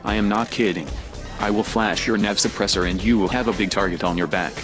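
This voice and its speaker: A man's voice: lowish voice, fairly even intonation, a little fast, natural voice. A man playing a cool, threatening science-fiction operative who speaks in a terse, commanding way. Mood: menacing. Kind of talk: science-fiction film dialogue. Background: music.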